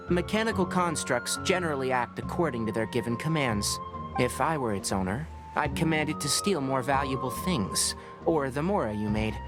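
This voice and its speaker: A man playing a brisk, impatient young forest watcher in a video game, speaking in clipped, matter-of-fact scientific phrases. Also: mid-range voice, lightly acted. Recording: music playing behind the voice.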